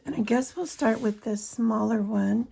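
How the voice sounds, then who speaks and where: A hoarse woman chatting casually to her viewers as a budgeting vlogger, counting cash aloud as she works. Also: fairly high pitch, fairly even intonation, moderate pace, mellow. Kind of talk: casual chat while cash stuffing.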